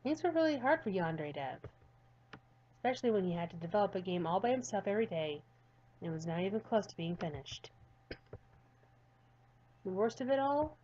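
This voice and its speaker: A woman's voice: mid-range voice, darkish tone, highs cut off. A woman narrating a visual novel aloud in an earnest, slightly stumbling way. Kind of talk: storybook-style narration.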